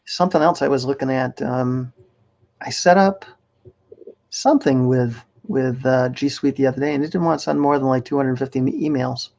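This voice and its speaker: A man's voice: mid-range voice, moderate pace, creaky, darkish tone. An American man co-hosting a casual livestream, easygoing and agreeable in the way he talks. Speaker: livestream co-host. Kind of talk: casual chat. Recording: slightly dull lo-fi stream audio.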